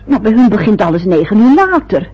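A woman's voice: mid-range voice, sing-song, brisk, husky. An actress playing a fussy, anxious older mother in a radio play, chattering and muttering to herself. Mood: fretful. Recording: dull lo-fi old radio recording.

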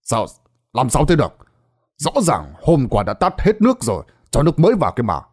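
A man voicing an older village elder who asks worried, puzzled questions in a measured way. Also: medium pitch, huge pitch swings, breathy edge.

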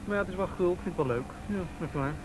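An actor as a dry, higher-voiced policeman. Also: rapid-fire, noisy background, natural voice. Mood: wry, teasing. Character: young off-duty policeman, teasing, persistent, unflappable. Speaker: police officer of youth and vice squad. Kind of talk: naturalistic radio drama acting.

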